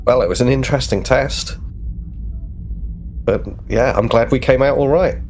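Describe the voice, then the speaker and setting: A man's voice: medium pitch, normal speed, darkish tone, slight background noise. A man playing a tabletop roleplaying game, casually speaking for and describing his character.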